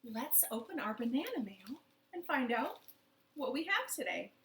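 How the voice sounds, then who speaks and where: A woman's voice: fairly high pitch, big pitch swings, normal speed, a bit bright, echoey room, slight background noise. A woman leading a children's storytime from her living room, playful and sing-song with rhymes and silly voices.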